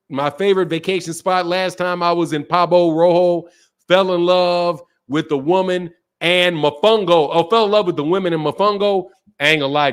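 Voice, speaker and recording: A man with a fairly high-pitched voice hosts a loose live-stream about boxing. He reads viewer comments aloud and answers them, talking in an animated way. He swears freely and repeats his points. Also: smooth. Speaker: boxing talk show host reading chat. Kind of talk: casual live-stream chat.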